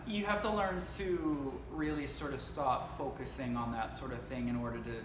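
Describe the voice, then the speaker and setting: A young man from Canada, an actor, talking about his life and work in a casual interview, earnest and reflective, often pausing with "um" and restarting. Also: mid-range voice, fairly even intonation. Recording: echoey room with noise behind.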